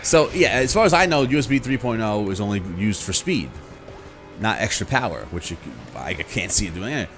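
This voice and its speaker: A man's voice: fairly low pitch, very animated, a little fast, fairly bright tone, faint music behind. A man hosting a video, explaining things casually and conversationally, thinking aloud and often checking in with the listener. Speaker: video host. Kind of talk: casual explanatory talk to viewers about gaming gear.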